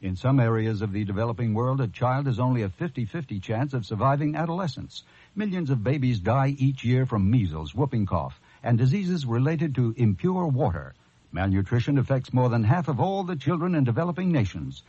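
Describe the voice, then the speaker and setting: A man's voice: medium pitch, lively intonation, fairly quick, dark tone, husky, dry recording. A man reading a scripted public service announcement in an earnest, composed way. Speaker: charity announcement narrator. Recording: dull, dry radio broadcast.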